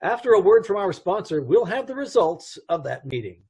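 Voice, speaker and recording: A man reading the announcer's lines for a 1950s-style radio drama in a formal, measured way. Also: high-pitched, sing-song, a little mellow, slightly rough, highs cut off, heavily acted. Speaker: show announcer.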